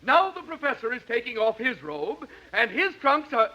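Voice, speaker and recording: An actor playing an excitable wrestling announcer in a 1950s radio comedy, calling it briskly and formally. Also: male voice, very high-pitched, highs cut off. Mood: excited. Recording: faintly noisy 1950s radio recording.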